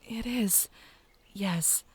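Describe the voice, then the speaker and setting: A woman playing a whimsical, sweet but stubborn young druid princess in a fantasy audio drama, gentle and airy. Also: mid-range voice, drawn-out words, husky. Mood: earnest, gentle.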